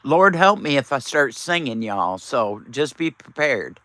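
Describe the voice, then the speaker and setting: Man streamer, raspy, bright lively voice, teasing banter, dry close sound. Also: mid-range voice, unhurried, dry recording. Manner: teasing, chatty, reads out and answers viewers. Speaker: live streamer. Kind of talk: casual live-stream chat with viewers.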